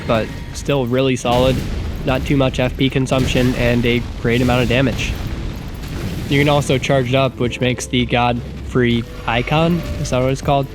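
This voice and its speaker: Stuffy-nosed, nasal man narrating gameplay. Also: mid-range voice. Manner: chatty, self-deprecating, exasperated asides. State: getting sick, congested. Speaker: gaming YouTuber. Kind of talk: casual gameplay commentary voice-over.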